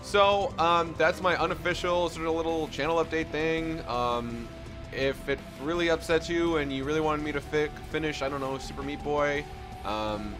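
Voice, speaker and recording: A man's voice: a little high, normal speed. A young man hosting a let's play, chatting casually and self-deprecatingly with many restarts while he plays. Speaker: gaming channel host. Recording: game music playing behind.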